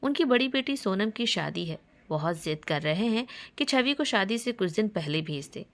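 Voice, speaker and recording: A woman's voice: medium pitch, expressive, moderate pace, a bit bright. A woman voicing a caring middle-aged father, respectful and a little unsure, in a family story. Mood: respectful, considerate.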